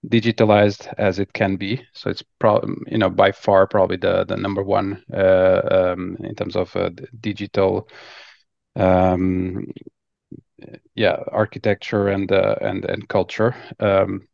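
Low, gravelly man. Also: flat delivery, a little slow, no echo, muffled recording. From Lisbon, Portugal. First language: Portuguese. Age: 40s. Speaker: podcast guest, technology executive. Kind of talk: casual interview talk.

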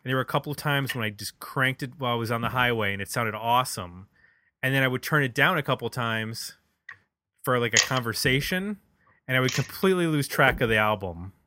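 A man's voice: mid-range voice, brisk, pushed and bright, a little hiss or hum. A man in a casual, conversational album discussion, thinking aloud as he goes, like a podcast host.

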